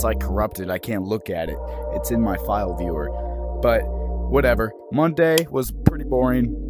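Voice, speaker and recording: A man casually commentating as he plays a horror game, swearing often and reacting with sudden jumps. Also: fairly low pitch. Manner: swears often, jumpy reactions, explains mechanics aloud. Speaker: gaming youtuber. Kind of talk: casual let's-play commentary.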